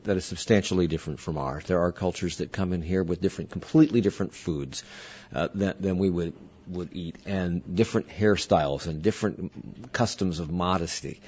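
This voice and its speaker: A man hosting a radio talk show, asking slow, deliberate questions and reading listener comments. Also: low voice, a little mellow, slightly rough, muffled recording. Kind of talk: interview discussion.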